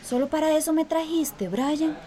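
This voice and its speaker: A guarded young woman, played by an actress with a Costa Rican accent and a high voice. She sounds reluctant and defensive in this radio drama. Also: moderate pace, mellow, natural voice.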